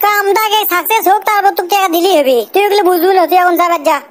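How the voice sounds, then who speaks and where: A man voicing an excitable, scheming teenage son in a comic cartoon, whiny and brash, with a pitched-up effect. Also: racing, noisy background.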